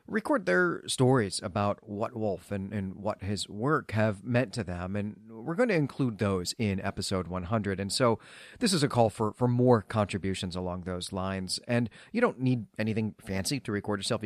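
A man's voice: on the low side, animated, normal speed, no room sound, clean studio sound. A man co-hosting a literary podcast in a chatty, explanatory, conversational way. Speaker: podcast co-host.

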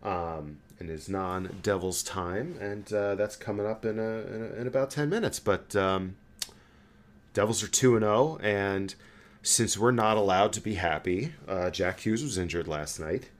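A man hosting a podcast, chatting casually about sports in a halting, conversational way. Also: fairly low pitch. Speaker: podcast host.

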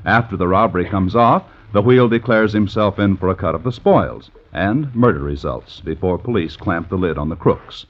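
A man's voice: deep voice, expressive, normal speed, hardly any pauses. A man announcing in an emphatic, formal 1950s radio promotional style. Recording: muffled vintage radio recording.

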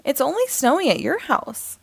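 Young girl next door for a picture book, read by a woman: friendly, easily alarmed, high voice, dry sound. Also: big pitch swings, moderate pace, creaky, dry recording, exaggerated voice. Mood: concerned. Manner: urgent, concerned. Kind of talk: children's storybook character voice.

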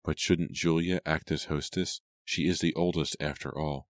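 Storybook part: male reader as kindly young daughter, sincere and gentle, deep-toned. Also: steady tone, moderate pace, no room sound, clean studio sound. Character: kind, considerate young woman, younger daughter.

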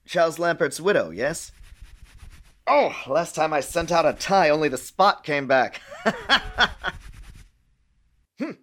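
Fussy, officious American bureaucrat. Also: male voice, a little high, very animated, slow pace, pauses often, fairly bright tone, slight background noise, exaggerated voice. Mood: fussy, affable. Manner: officious, chatty, digressive. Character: fussy, dry middle-aged male American intelligence bureaucrat. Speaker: actor in audio drama. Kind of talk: radio play acting.

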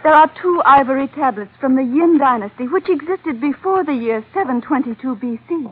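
An earnest young Chinese heroine in an old radio serial. Her voice is high and her words are formal and careful, heard through thin, tinny broadcast sound. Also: expressive, fairly quick. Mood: solemn. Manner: formal, careful speech without contractions. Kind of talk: old-time radio drama acting.

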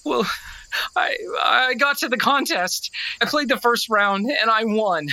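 Young country boy: impassioned, heartbroken, halting delivery, bright forceful tone, audio drama. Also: a little high, no echo. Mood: shaken. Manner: stammering, impassioned.

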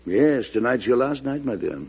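Courteous middle-aged president, husky and expressive. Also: male voice, medium pitch, moderate pace, exaggerated voice. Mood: warm, confiding. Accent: American. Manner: courtly, hesitant, avuncular. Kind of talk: old-time American radio drama acting. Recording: muffled old radio broadcast recording.

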